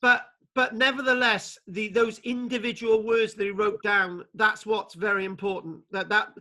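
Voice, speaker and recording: A man's voice: high voice, fairly even intonation, normal speed, sharp tone. A man lecturing over a video call, stammering and repeating words, with many fillers as he leads a discussion. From Wales.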